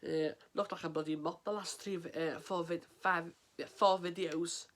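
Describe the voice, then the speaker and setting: An English man speaks to camera in a casual vlog, his voice stuffy and sniffling from a head cold. He talks in an earnest, halting way, full of ums and restarts, and his pitch stays level and flat throughout. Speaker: mental health vlogger.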